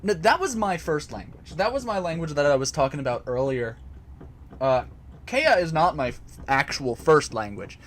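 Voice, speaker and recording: A man's voice: on the high side, big pitch swings, normal speed, a little hiss or hum. A man casually explaining a topic to a live chat from a home basement recording area, hesitant, with many fillers and restarts. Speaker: host answering chat.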